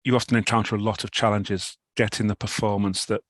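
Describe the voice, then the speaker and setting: A middle-aged British man with a cold, giving a talk over a video call, halting with many restarts. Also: fairly low pitch, flat delivery, no echo. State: has a cold, clears throat. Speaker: guest speaker. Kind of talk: online talk presentation.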